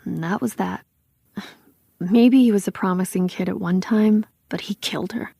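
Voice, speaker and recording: A bitter, insistent woman giving testimony in an audio drama. Her voice is mellow and full of fry, with a blunt delivery. It is recorded very dry. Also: mid-range voice, moderate pace, dry recording. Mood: bitter, resigned.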